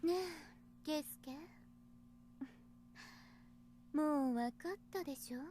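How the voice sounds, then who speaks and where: Woman as cruel young female schemer, high voice, breathy gloating purr, visual novel game acting, faint noise. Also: fast, mellow, lightly acted. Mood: cold taunting.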